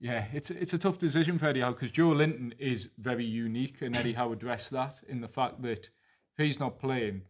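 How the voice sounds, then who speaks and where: A man's voice: mid-range voice, brisk. A man with a Geordie accent co-hosting a football podcast, talking through team news fluently and thinking aloud. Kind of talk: casual football analysis chat.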